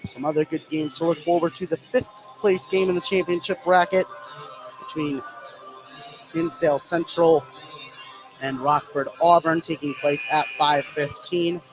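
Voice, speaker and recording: Male play-by-play announcer, somewhat high-pitched, live high school basketball radio call, music in the background. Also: fairly even intonation, a little slow, poor audio.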